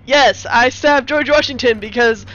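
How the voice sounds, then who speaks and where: A woman's voice: sits high, measured pace, a bit bright, lo-fi sound. A woman playing a lovestruck, melodramatic male action-movie hero in a comic screenplay reading. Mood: romantic, declaring. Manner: melodramatic, declarative.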